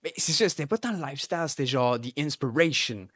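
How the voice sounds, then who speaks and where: Laid-back rapper, mix. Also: male voice, a little high, fast talker, no room sound. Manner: relaxed, mixes French and English slang. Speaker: guest rapper. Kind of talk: casual interview chat.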